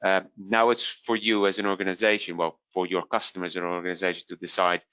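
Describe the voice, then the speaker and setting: Dutch-accented man, low voice, halting with fillers, dry muffled line. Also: level tone, fairly bright tone, smooth, no echo. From Netherlands. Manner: hesitant, many fillers and restarts. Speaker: vendor product specialist. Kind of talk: webinar Q&A answer.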